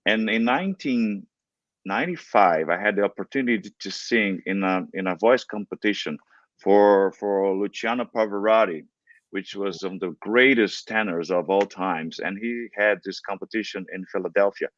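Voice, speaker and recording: A middle-aged man from Brazil whose first language is Portuguese, speaking in a fairly low voice. He talks haltingly, full of fillers and restarts. The sound is muffled and dry, with no room around it. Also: fairly bright tone, no room sound. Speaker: guest opera singer. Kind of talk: relaxed interview talk.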